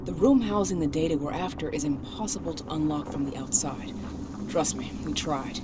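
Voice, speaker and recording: A woman's voice: low voice, normal speed, very noisy, natural voice. An actress playing a direct, businesslike female hacker coordinating a mission in a dramatic video game.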